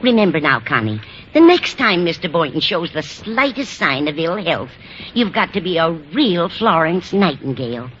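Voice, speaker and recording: A muffled, slightly hissy vintage radio recording features a motherly older American landlady. She is meddlesome and earnest, coaxing and advising with very animated pitch in sitcom style. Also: normal speed. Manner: coaxing, earnest advice-giving. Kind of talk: 1940s-50s American radio comedy acting.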